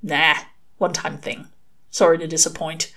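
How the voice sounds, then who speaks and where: A woman's voice: low-pitched, flat delivery, bright, forceful tone. Woman voicing a sardonic, slangy male demon. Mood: weary, offhand. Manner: offhand, slangy, drawn-out muttering. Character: sardonic, brusque, grumbling male demon with a soft streak. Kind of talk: audiobook character dialogue.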